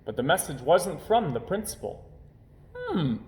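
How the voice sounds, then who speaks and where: Animated man reading a children's story. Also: fairly high pitch, normal speed. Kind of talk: expressive children's story narration. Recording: roomy, echoing home recording.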